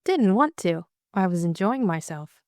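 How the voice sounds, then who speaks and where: A woman's voice: mid-range voice, big pitch swings, measured pace, darkish tone, no echo. A woman doing a dry, teasing young Englishwoman.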